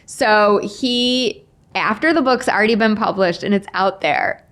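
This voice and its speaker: A woman in her forties chatting casually as a podcast guest, telling personal stories in a candid, playful, animated way and acting out quotes. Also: medium pitch, a little slow. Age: 40s. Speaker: podcast guest, memoir author. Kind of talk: casual chatty interview storytelling.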